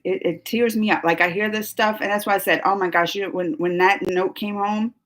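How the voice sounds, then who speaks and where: Livestream host: woman, 30s, mid-pitched, earnest and rambling, restarts often. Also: steady tone, a little fast, fairly bright tone. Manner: emotional, rambling, many restarts and fillers. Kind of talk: casual live stream politics chat.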